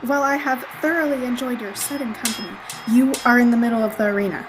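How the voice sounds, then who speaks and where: A woman's voice: on the high side, fairly even intonation, fast, natural voice, poor audio. A young woman acting a friendly, folksy, dim-witted female Roman gladiator in radio theatre. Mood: cheerfully matter-of-fact. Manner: folksy turns of phrase. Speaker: student radio theatre actor. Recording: music behind the voice.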